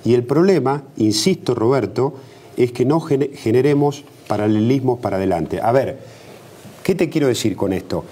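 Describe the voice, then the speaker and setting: A man's voice: medium pitch, animated, moderate pace, dark tone, husky. A middle-aged Argentine man, a politician being interviewed on TV, arguing emphatically with rhetorical questions and frequent restarts. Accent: Argentine Spanish. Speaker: politician guest.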